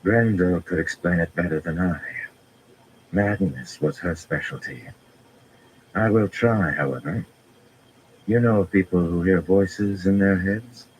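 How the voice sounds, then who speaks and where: A man's voice: low-pitched, slight background noise, rough recording. A man voicing a cruel, regal woman villain who speaks in a cool, clinical, menacing way, in an audiobook. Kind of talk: audiobook character voice.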